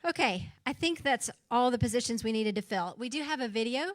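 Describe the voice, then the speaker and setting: A woman's voice: on the high side, expressive, fairly quick, no echo. A woman giving casual, chatty announcements to a meeting crowd as organizer. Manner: chatty, informal, with fillers.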